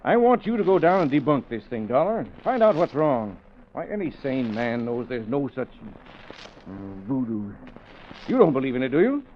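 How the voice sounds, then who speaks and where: A man plays a formal, earnest business executive in vintage radio drama style. The voice is medium in pitch and stuffily proper. The old recording is dulled, with faint noise. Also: sing-song, moderate pace, highs cut off, lightly acted. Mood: earnest, uneasy. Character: stuffy, blunt, middle-aged insurance company general manager. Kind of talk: 1940s radio acting.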